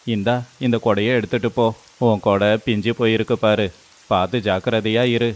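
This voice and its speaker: A man's voice: fairly low pitch, fairly even intonation, normal speed, lightly acted. A man playing a poor village friend in a moral story, concerned and earnest, giving cautious advice. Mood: resigned, caring. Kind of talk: dramatized moral story voice acting.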